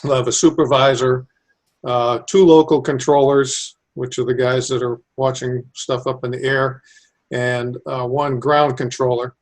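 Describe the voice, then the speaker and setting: A man's voice: medium pitch, level tone, measured pace. A man giving informal, step-by-step explanations as an engineer being interviewed. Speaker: civil engineer, airport designer being interviewed.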